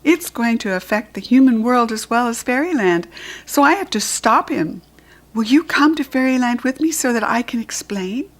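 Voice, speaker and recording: A woman voicing a cheerful little female toy fairy, speaking brightly and eagerly. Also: fairly high pitch, expressive, normal speed, pitched above the performer's own voice.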